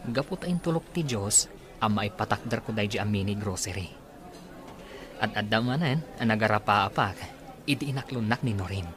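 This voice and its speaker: A man's voice: on the low side, expressive, moderate pace, a crowd in the background, lightly acted. A man acting a humble, grateful, earnest man in love in a serial audio drama. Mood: hopeful. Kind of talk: Filipino radio-style drama dialogue.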